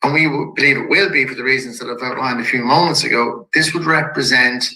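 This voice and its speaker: A man with a slightly croaky voice from a head cold, a company executive calmly walking shareholders through an investor presentation slide by slide. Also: medium pitch. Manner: measured and businesslike, with occasional restarts. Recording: echoey room.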